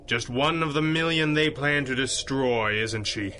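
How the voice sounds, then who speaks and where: Intimidating vigilante hero, old radio drama, tense forceful voice, faint noise behind. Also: male voice, medium pitch, unhurried. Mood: urgent, persuasive. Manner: controlled, pointed, intimidating. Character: menacing masked male vigilante hero, commanding and persuasive.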